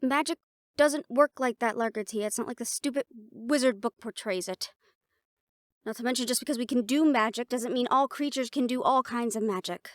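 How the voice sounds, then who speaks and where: A playful half-siren, half-banshee woman acted by a woman chats one-on-one in an audio roleplay. Her voice sits on the high side and sounds very dry, with no room around it. She talks casually and haltingly, with a wistful fondness for home. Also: no echo, close to an everyday voice. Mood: playful, distracted. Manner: casual, chatty, halting, with small laughs. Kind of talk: intimate audio roleplay, one-sided conversation with listener.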